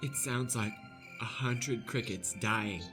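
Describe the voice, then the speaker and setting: A man's voice: medium pitch, slow pace, music in the background, close to an everyday voice. A man playing an overwrought, hysterical mother in a parody radio commercial. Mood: disapproving. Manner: shrieking, overwrought.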